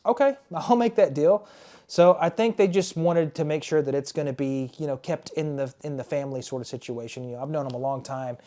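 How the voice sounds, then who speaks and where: A man in his thirties chatting casually and enthusiastically in a collector's vlog, his voice worn and starting to go hoarse. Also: a little high, brisk, dark tone. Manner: chatty, enthusiastic, repeats words. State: losing his voice. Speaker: retro game collector and YouTuber. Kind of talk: casual show-and-tell vlog.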